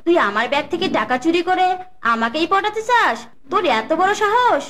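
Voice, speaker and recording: A woman voicing a sharp-tongued, suspicious young female fox in a cartoon. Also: very high voice, sing-song, a little fast, full character voice. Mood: angry, accusing.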